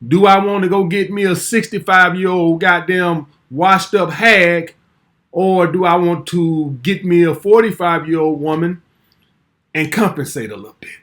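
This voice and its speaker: Host in his 40s, high pitch, brash and profane, casual commentary. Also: moderate pace, fairly bright tone. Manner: blunt, brash, swears freely.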